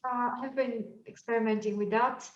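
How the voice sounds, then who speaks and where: A woman from Bulgaria with a Bulgarian accent, teaching astrology in a thoughtful lecture and often pausing with fillers as she searches for words. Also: on the high side, steady tone, a little hiss or hum. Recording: echoey room, mic at a distance, dull sound.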